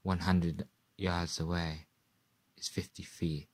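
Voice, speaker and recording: A man playing a doomed radio reporter in a radio play, giving a grave, terse eyewitness account in halting phrases. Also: deep voice, flat delivery. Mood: hushed dread.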